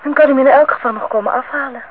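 A woman's voice: high voice, very fast. An actress playing a cheeky, probing young woman in a radio play, mocking her elders with pointed, formal questions. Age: young adult. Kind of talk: Dutch radio play acting. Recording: tinny, noisy old radio recording.

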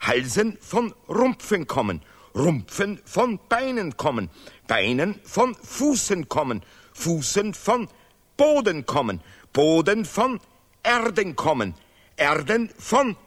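A pompous scholar, slowly declaiming, reverberant. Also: male voice, high-pitched, a bit bright, full character voice. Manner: declamatory, emphatic, chanting broken German with repetitions. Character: pompous male Nobel laureate scholar, stubborn and contemptuous. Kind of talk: stylized avant-garde radio play acting.

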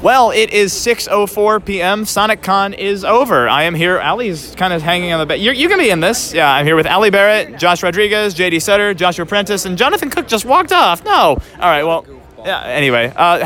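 A man hosting casual on-the-spot interviews at a busy convention, talking in a rambling, halting way. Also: high voice, big pitch swings, sharp tone. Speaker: podcast host and interviewer. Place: busy public convention space.

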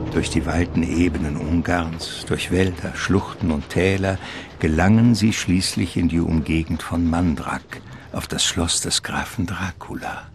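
A man narrating a radio drama as a scripted literary storyteller. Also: extremely low pitch. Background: music.

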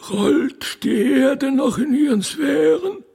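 A man's voice: very high-pitched, lively intonation, creaky, darkish tone, voice pushed up, heavily acted. A man voicing a decrepit, ancient God the Father, forgetful and given to wailing and blustering, in a theatrical radio play. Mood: confused, demanding. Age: very old. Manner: drawn-out, lamenting, blustering.

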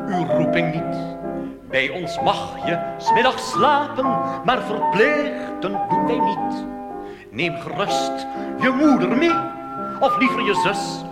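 A jolly recruiting monk, acted out by a male cabaret singer sings coaxingly to boys. The voice is high, hearty and declamatory, swinging widely over loud music in an echoing hall. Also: full character voice. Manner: hearty, declamatory, drawn-out delivery. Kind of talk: comic cabaret song. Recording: live hall recording with long reverb.

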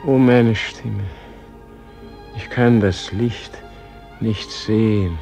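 An actor playing the humble, self-doubting soul of a martyred leader in a solemn radio play, speaking in a reverent, halting, prayerful way. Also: male voice, on the low side, fairly even intonation, creaky, mellow, faint music behind, exaggerated voice. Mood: awed, pleading. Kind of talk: solemn classic German radio play acting.

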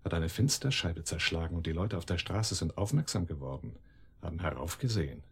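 A deep-voiced, menacing crime boss. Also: male voice, animated, vocal fry, husky, exaggerated voice. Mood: reproachful, threatening. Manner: commanding, sardonic, deliberate. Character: cold, menacing male crime boss, calmly cruel. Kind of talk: German radio drama acting.

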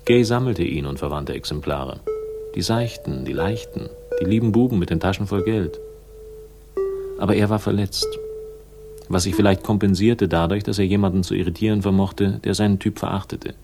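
An actor playing a world-weary, sardonic investigator in a 1960s German radio crime drama, speaking in a dry, laconic, cynical way. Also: male voice, low-pitched, normal speed, creaky, music in the background. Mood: wry, disdainful. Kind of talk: 1960s radio noir narration and dialogue.

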